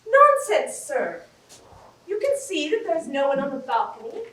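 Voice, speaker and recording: A woman's voice: sits high, wildly swooping pitch, unhurried, exaggerated voice. A woman on stage playing a proud, romantic young lady in a classic comedy, speaking formally and with indignation. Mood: haughty, indignant. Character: proud, romantic young Bulgarian lady, haughty yet generous. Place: theatre stage, recorded from the audience. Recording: roomy, distant stage recording with hiss.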